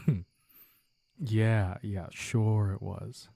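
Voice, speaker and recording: A man playing a playful, teasing young man in an intimate romantic audio roleplay, with halting banter and mock-dramatic asides. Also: lowish voice, fairly even intonation, vocal fry, warm tone, dry recording, full character voice. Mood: warm, nostalgic. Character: playful, teasing young man sneaking out with his childhood sweetheart.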